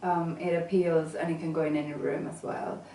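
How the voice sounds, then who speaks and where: A woman's voice: deep voice, little pitch movement, normal speed, dark tone. A woman who grew up in England and Venezuela, talking about her design work as an interview guest, hesitant and full of fillers and restarts. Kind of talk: casual interview answers. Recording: echoey room, mic at a distance, faint noise.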